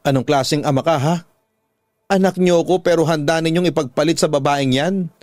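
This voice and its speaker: A man's voice: fairly high pitch, fairly quick, a little mellow, no room sound, clean studio sound, lightly acted. A man with a Filipino accent voicing an angry, defiant grown daughter in a radio drama, confrontational and threatening.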